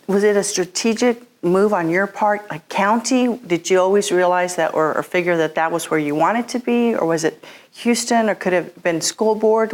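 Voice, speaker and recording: Low-pitched woman interview host, formal. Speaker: interview host, chamber of commerce president. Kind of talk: formal welcoming interview with prepared introduction.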